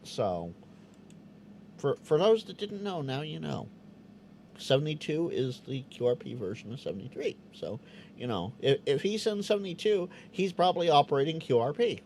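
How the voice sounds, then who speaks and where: Male streamer, easygoing chat, animated pitch, stammery restarts, faint room ambience. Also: normal speed, slight background noise. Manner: chatty, stammers on restarts, sounds out Morse code. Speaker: host of a live Q&A stream. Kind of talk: casual live-stream chat reading viewer comments.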